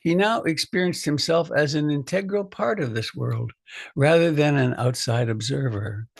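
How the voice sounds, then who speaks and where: A man, a meditation teacher, reading a reflective poem aloud in a measured, deliberate way. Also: a little high, creaky, no echo, studio quality.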